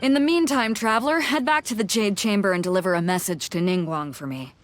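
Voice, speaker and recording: A woman's voice: medium pitch, a little fast, a little hiss or hum, lightly acted. An actress playing a bold, blunt female ship captain in a video game, confident and commanding.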